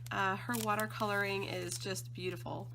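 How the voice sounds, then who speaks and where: A woman with a slightly croaky voice from allergies, chatting casually and gushing with enthusiasm as she unboxes craft supplies. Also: mid-range voice, steady tone, normal speed, background noise. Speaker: scrapbooking design team member.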